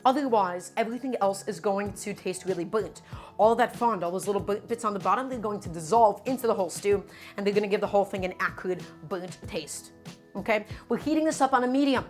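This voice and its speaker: A woman's voice: mid-range voice, brisk, faint music behind. A woman whose first language is Russian, hosting a live cooking stream from her home kitchen. She explains each step in a chatty, teaching way. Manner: chatty, instructive, often says "my friends".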